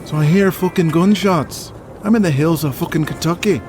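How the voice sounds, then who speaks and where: A man's voice: fairly high pitch, music in the background, close to an everyday voice. A man playing a foul-mouthed Irish wrestler. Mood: rowdy storytelling. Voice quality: foul-mouthed, fast and rowdy. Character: crude brawling Irish male wrestler, boastful. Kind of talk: audio drama acting.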